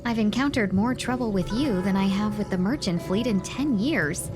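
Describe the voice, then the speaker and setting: A woman playing a polite, silver-tongued merchant guide in a video game, speaking in formal, measured phrases. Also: mid-range voice, expressive, close to an everyday voice. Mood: gently wry. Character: courteous, silver-tongued young female merchant envoy and guide. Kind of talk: video game cutscene acting. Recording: music behind the voice. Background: music.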